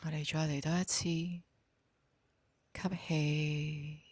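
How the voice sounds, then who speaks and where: A woman with a Hong Kong Cantonese accent guides a meditation in a soft, breathy voice, speaking calmly and giving gentle instructions. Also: low-pitched, dry recording. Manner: slow, calm, gently instructing.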